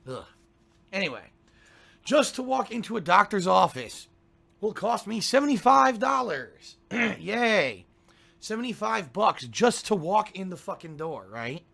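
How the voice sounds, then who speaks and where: A sick, hoarse man with a gravelly, sharp-edged voice, talking loosely to his viewers before a game stream. He grumbles and rants, sounds stuffed up, and keeps stopping to clear his throat. His voice sits a little high for a man. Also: sing-song, measured pace. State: sick with a cold, hoarse and congested. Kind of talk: casual pre-stream chat to viewers.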